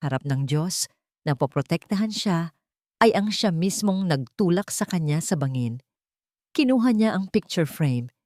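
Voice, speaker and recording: A woman reading a scripted dramatic story as narrator, in a measured and solemn storytelling manner. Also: low voice, darkish tone, no room sound, clean studio sound.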